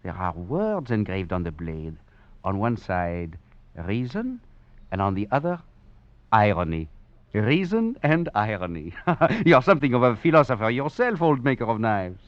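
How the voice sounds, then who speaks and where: Swaggering French convict, dramatic swooping delivery. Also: male voice, fairly low pitch, big pitch swings, normal speed, no room sound. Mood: sly, admiring. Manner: theatrical, florid, wry chuckles. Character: swaggering escaped French convict, philosophizing rogue. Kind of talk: 1940s radio drama acting and narration. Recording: muffled old radio recording with music.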